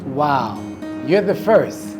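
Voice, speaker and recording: A man's voice: fairly high pitch, big pitch swings, extremely slow. A man who is a visiting guest, chatting in an upbeat and encouraging way. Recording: crowd noise and music behind. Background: crowd and music.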